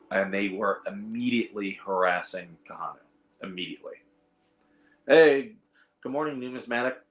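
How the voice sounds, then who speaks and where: A hoarse man hosting a casual livestream, rambling with lots of fillers and reading out the chat. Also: lowish voice, a bit bright, low-quality recording. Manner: rambling, reads chat aloud, frequent fillers. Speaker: host of a veterans livestream. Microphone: iPad.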